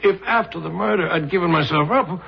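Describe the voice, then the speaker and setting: An actor playing a desperate middle-aged man, agitated and pleading his innocence in a radio drama, with a raspy, strained voice. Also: high voice, a little fast, slight background noise. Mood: desperate, indignant. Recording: muffled old radio drama recording.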